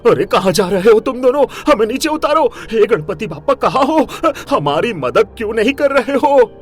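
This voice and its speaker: Guy doing a worked-up villager in a cartoon story, pleading, high voice jumping all over. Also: expressive, normal speed, faint music behind. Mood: panicked. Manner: frantic, pleading. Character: panicking village man pleading for rescue. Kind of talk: animated moral story voice acting.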